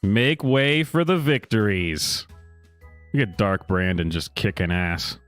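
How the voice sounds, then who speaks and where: Low-voiced sarcastic man reading over music. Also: a bit bright. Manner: sarcastic, theatrical pauses on dramatic phrases. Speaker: commentary host reading a news story aloud. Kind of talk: mock-dramatic read-aloud with sarcastic asides. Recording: music playing behind the voice.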